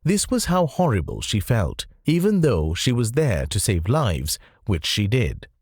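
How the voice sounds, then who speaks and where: A man reading scripted nonfiction audiobook narration in a measured, explanatory way. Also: on the low side, huge pitch swings, darkish tone, husky, no echo, clean studio sound.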